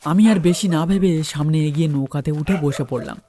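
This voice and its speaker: A man's voice: on the high side, fairly quick, mellow, a little hiss or hum. A man narrating a first-person horror story and acting out its dialogue.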